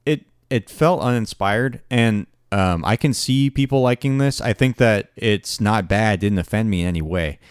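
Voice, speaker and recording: A man's voice: fairly low pitch, moderate pace, hardly any pauses, smooth, no echo, studio quality. A young man co-hosting a music podcast, giving hedged opinions with frequent restarts. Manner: hesitant, restarts, hedging opinions. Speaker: podcast co-host. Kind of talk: casual music chat.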